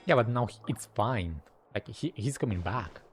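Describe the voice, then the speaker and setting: A man's voice: on the low side, expressive, normal speed. A man giving casual, informal reactions while playing a video game, with muttered asides. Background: faint game music.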